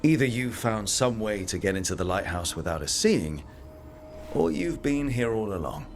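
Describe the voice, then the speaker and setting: An actor playing a wary, resolute fantasy hero in a video game, direct and businesslike. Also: male voice, lowish voice, big pitch swings, moderate pace, a little hiss or hum. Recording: music behind the voice.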